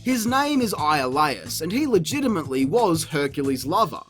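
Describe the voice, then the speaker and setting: A man's voice: a little high, very animated, normal speed, soft background music. A man narrating a scripted comedic review in a sarcastic, crude, mocking manner. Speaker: video reviewer.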